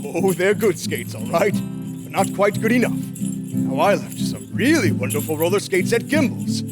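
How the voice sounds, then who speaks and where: A man's voice: high voice, big pitch swings, normal speed, loud music behind the voice. Man playing a lilting, kindly old Santa Claus. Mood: kindly, jolly. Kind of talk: old-time radio drama acting.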